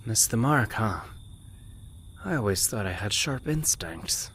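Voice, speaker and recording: An actor playing a nosy, teasing middle-aged smoker in an anime fan dub, with a wry, laid-back, probing manner. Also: male voice, fairly low pitch, expressive, unhurried, fairly bright tone, a little hiss or hum.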